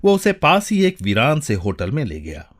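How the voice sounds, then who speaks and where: A man giving a dramatic, measured narration of a short story, with deliberate pauses. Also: mid-range voice, wildly swooping pitch. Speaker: voice-over narrator.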